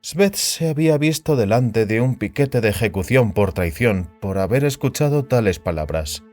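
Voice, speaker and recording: A man's voice: medium pitch, lively intonation, normal speed, warm tone, no room sound, faint music behind. A man reading a science fiction story aloud as a scripted, dramatic narration.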